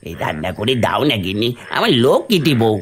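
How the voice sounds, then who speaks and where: A woman's voice: rumbling low, huge pitch swings, quick pace, slight background noise, heavily acted. A woman voicing a sly, wheedling male thief and grumbling husband in a cartoon. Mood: eager, wheedling. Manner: scheming, wheedling, exaggerated. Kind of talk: cartoon voice acting.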